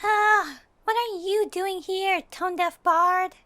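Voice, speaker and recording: Tiny cheeky fairy mascot: extremely high, sharp childlike squeak, unhurried, pouty, anime fan audio drama. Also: female voice, steady tone, no room sound, full character voice. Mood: snarky. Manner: speaks of self in third person, blunt, pouty.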